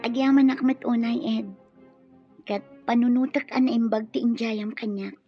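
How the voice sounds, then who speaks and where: A woman's voice: on the high side, steady tone, moderate pace, natural voice. A woman with an Ilocano accent playing a friendly, trusting young student in a radio drama. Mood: hesitant. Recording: dull radio drama sound, music behind.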